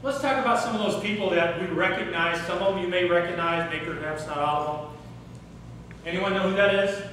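A middle-aged man giving a workshop talk on public speaking, animated and asking the audience questions in a hotel conference room. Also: sits high, brisk, a little hiss or hum. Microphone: far camera, no mic seen. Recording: echoey room recorded from far off.

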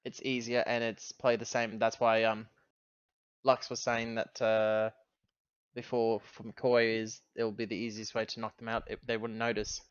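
A man playing a tabletop roleplaying game, talking casually and thinking aloud as he deliberates and repeats himself. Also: on the low side, monotone, clear, resonant tone, no room sound, dull audio.

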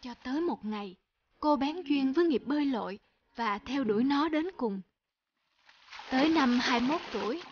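A woman voicing a gentle, encouraging mother in an animated tale, speaking with patient, soothing manner. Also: high voice, lo-fi sound, a little hiss or hum, close to an everyday voice. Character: gentle, encouraging mother of a young pianist daughter. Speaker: mother character. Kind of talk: animated fairy-tale dubbing.

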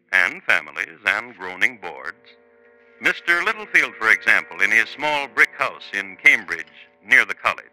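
An actor narrating a 1950s radio crime drama as a wry, urbane storyteller, dry and measured. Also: male voice, on the low side, sharp tone, heavily acted, rough recording. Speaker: host and narrator. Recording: old 1950s radio recording, music behind.

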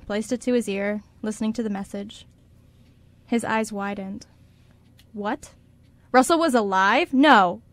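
A woman narrates a radio drama from a script. Her voice is on the high side, recorded close and dry with little room sound. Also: no room sound.

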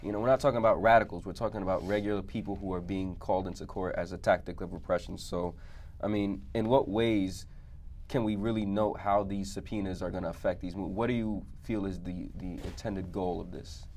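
A man's voice: sits low, fairly even intonation, a little fast, a little mellow, slight background noise. A young man in his twenties moderating a TV studio panel, speaking casually with many likes and you-knows. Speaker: host, moderator.

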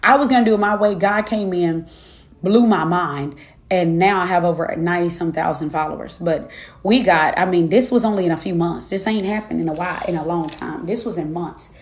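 A woman's voice: lowish voice, a little fast, reverberant. A woman in her twenties, a minister and life coach, preaching her testimony to viewers in an emphatic, repetitive cadence. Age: 20s.

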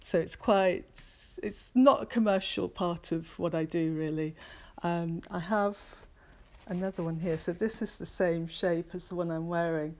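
Woman, low-pitched and unhurried, hesitant maker talking through her studio on a phone camera. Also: darkish tone. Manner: hesitant, many ums, practical and understated. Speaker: milliner giving a studio tour. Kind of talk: casual talk while showing hats. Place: small hat workshop indoors, bright window light. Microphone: phone camera held by speaker.

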